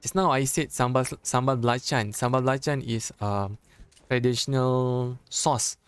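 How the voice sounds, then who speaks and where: A young man from Malaysia with a Malaysian accent, a Malay native speaker casting a live mobile esports match in English, chatty and joking, with halting phrasing and frequent restarts. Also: medium pitch, steady tone, clear, resonant tone. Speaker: esports caster. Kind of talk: live esports game commentary.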